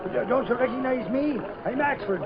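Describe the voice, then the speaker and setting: A man's voice: very high-pitched, a little fast, creaky, a loud crowd. An actor playing a blustering, dim but good-hearted ex-policeman sidekick in old radio drama, folksy and full of ungrammatical exclamations. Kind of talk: 1930s-40s radio drama acting. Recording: old radio recording, muffled, noisy.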